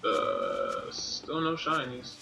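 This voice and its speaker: A man's voice: mid-range voice, wildly swooping pitch, drawn-out words, creaky. A man doing casual game commentary, musing playfully to himself and reading out what is on screen. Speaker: gaming streamer playing and commenting. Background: game music.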